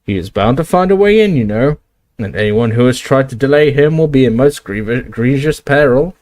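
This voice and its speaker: A man's voice: mid-range voice, expressive, normal speed, a little mellow, dry recording, natural voice. A man voicing a portly, pompous older male professor who puffs and frets. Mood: anxious. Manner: puffing, fretful.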